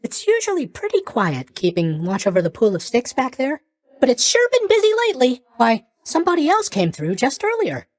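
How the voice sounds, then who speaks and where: A man's voice: very high voice, wildly swooping pitch, a little fast, no room sound, full character voice. A man voicing a sleepy, scatterbrained young god of sleep in a video game, airy, hesitant and guilelessly blunt. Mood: chatty, naive. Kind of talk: animated video game character voice lines.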